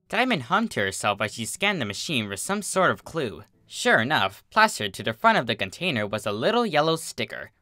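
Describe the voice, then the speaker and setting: Bright, expressive story reader with lively pitch. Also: dry recording, studio quality. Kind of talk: scripted story narration of a comedy fan fiction.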